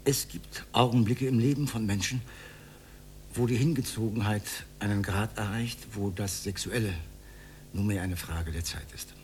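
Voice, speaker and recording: A man's voice: fairly low pitch, normal speed, darkish tone, slight background noise, close to an everyday voice. An actor in a radio drama playing an earnest, awkward, idealistic older man who speaks in a stilted, formal, lecturing way. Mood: solemn, courting. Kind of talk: 1980s German radio drama acting.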